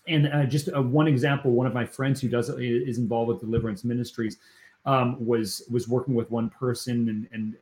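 A man's voice: medium pitch, a little mellow, echoey room, rough recording. A man hosting a faith podcast interview, talking earnestly and emphatically and often checking in with "right?". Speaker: podcast host.

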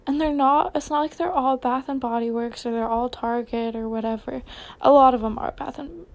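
A woman's voice: high voice, fairly even intonation, moderate pace, gravelly, darkish tone. A young woman with an American accent chatting casually on a solo podcast, rambling informally, questioning and correcting herself as she goes.

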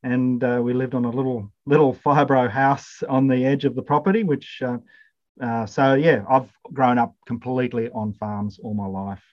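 A man's voice: mid-range voice, fairly even intonation, normal speed, muffled recording. A middle-aged man from Zimbabwe, a podcast guest talking casually with many fillers and restarts. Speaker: podcast guest, incoming college principal. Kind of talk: casual interview chat.

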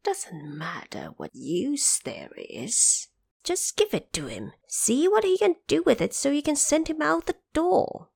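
A woman voicing a shrewd, composed Dragon Queen with a measured, persuasive manner, in an audio drama. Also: high-pitched, huge pitch swings, gravelly, darkish tone, no room sound, studio quality, pitched above the performer's own voice, heavily acted. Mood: dismissive, hushed.

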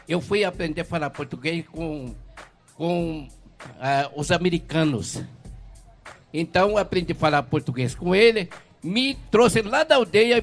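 Earnest older man in his seventies. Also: high-pitched. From Mato Grosso do Sul, Brazil. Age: 70s. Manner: earnest, rambling, blessing-heavy. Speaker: herbal medicine vendor. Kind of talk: emotional street testimony.

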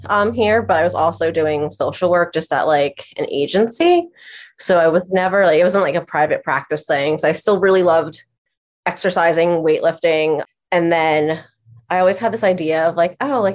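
A woman speaks as a chatty interview guest in a somewhat low voice with a stuffy sound. She tells her story casually, leaning heavily on "like" and acting out her own thoughts as quotes. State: stuffy, congested. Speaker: podcast guest, therapist and trainer.

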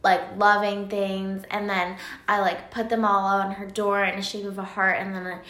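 A woman's voice: mid-range voice, little pitch movement, moderate pace, fairly bright tone. A young woman hosting a casual chat in an informal, slangy way. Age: young adult. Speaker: podcast host. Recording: echoey room.

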